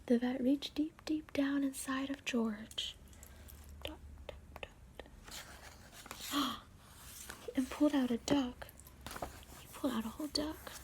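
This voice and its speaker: A woman reads a children's picture book in a soft, breathy ASMR whisper, adding playful asides. Her voice is high and she speaks slowly. Steady noise hisses behind the recording. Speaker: storybook reader. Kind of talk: whispered ASMR story reading.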